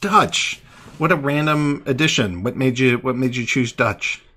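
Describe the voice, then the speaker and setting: Male host, thirties, casual and chatty, mid-pitched, speaking into an online voice chat mic. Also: a little slow. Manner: relaxed, chatty, many fillers and restarts. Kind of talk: casual live call-in chat.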